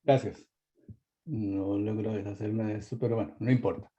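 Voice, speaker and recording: Man, halting presenter, lots of fillers, webinar mic, muffled sound. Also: fairly low pitch, fairly even intonation, mellow. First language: Spanish. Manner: halting, many fillers and restarts. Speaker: webinar speaker. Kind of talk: hesitant presentation. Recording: dull lo-fi webinar audio.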